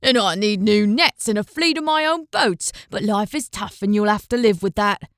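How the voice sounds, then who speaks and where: A woman voicing a burly, greedy male fisherman in an audiobook, talking loudly and bluntly with threats. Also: mid-range voice, animated, moderate pace, no room sound, studio quality, close to an everyday voice. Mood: menacing. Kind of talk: audiobook character voice.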